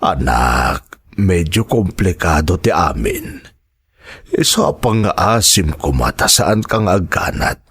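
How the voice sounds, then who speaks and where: A man's voice: deep voice, wildly swooping pitch, takes it slowly, fairly bright tone, slightly rough, heavily acted. A man with an Ilocano accent playing a troubled father who hesitantly soothes his child in a radio drama. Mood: troubled, soothing.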